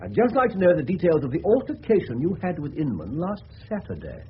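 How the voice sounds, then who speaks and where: An actor playing a courteous but probing, eccentric English police colonel with a clipped manner, in a 1970s radio drama. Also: male voice, a little high, huge pitch swings, brisk. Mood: brisk, dry. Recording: muffled, noisy old radio broadcast.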